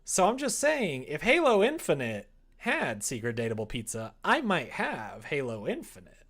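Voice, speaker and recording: A streamer chatting casually while working on a visual novel, drifting between conversation and quieter reading aloud. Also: mid-range voice, wildly swooping pitch, normal speed. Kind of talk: casual livestream chat while writing, murmuring draft lines.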